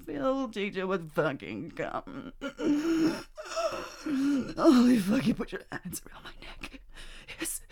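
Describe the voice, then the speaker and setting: Casting: woman as a possessive, reckless married teacher; husky and breathy, lower register, big pitch swings between whispers and cries. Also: darkish tone, heavily acted. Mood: pleading. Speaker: voice actor in an adult audio roleplay. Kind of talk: intimate roleplay performance.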